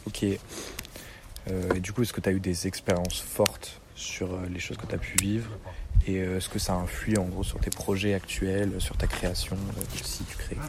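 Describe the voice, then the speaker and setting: Man, interviewer, deep monotone voice, hesitant, noisy recording. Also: unhurried. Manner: hesitant, filler-heavy questioning. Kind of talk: casual interview.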